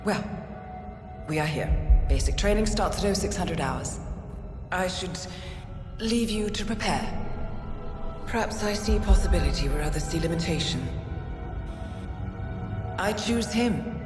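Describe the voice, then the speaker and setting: A cool, enigmatic female recruiter in a video game, poised and deliberate. Her lowish voice rings with long reverb, with eerie music behind. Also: lively intonation. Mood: cool, reflective.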